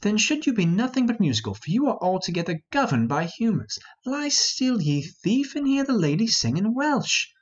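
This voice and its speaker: A man's voice: high-pitched, big pitch swings, moderate pace, few pauses, lo-fi sound, pitched above the performer's own voice. A man playing a bossy, teasing young noblewoman who scolds sharply in an amateur Shakespeare reading. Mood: tart, teasing.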